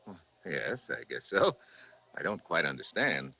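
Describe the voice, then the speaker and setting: An actor playing a wry, flirtatious American foreign correspondent in a 1940s radio drama, with a casual, teasing manner. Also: male voice, very deep voice, very animated, unhurried, creaky, pushed and bright, breathy edge, full character voice. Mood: wry, guarded. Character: breezy, flirtatious American male foreign correspondent, adventurous investigator. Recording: vintage 1940s radio recording.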